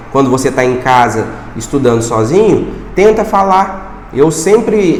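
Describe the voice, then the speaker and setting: A man teaches in a relaxed, chatty way, often repeating a word while he reaches for the next phrase. His voice sits a little high for a man. The room is echoey, with a long reverb trailing behind him. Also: slight background noise. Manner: conversational, repeats words while searching for phrasing. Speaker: language teacher. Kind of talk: casual video lesson.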